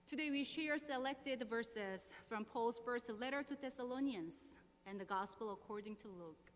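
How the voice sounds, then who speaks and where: A woman pastor in her thirties from South Korea, speaking English with a Korean accent, leading prayer, reading scripture and preaching in a measured, earnest way. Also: on the high side. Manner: measured, earnest, slow deliberate phrasing. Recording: long hall reverb, faint hum.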